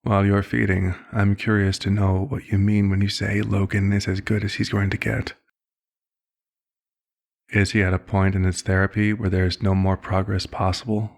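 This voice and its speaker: A man playing a courteous elder vampire doctor in an ASMR roleplay, speaking formally and with measured, concerned authority, in a gravelly, husky voice. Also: fairly low pitch, little pitch movement. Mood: measured, clinical concern. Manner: formal, measured, polite wording. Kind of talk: ASMR roleplay, scripted one-sided dialogue.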